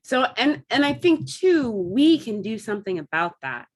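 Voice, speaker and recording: A woman's voice: on the high side, sing-song, moderate pace. A woman talking casually as a theatre panelist in a group conversation.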